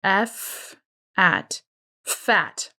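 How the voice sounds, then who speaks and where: A quiet, stubborn boy , voiced by a woman storybook reader, speaking in short, hesitant phrases with few words. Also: mid-range voice, sing-song, extremely slow, full character voice. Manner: terse, hesitant.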